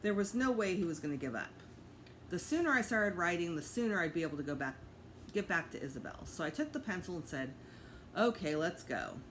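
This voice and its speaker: A woman teacher reading a children's storybook aloud in an expressive narrating style. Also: fairly low pitch, quick pace, a little hiss or hum, poor audio.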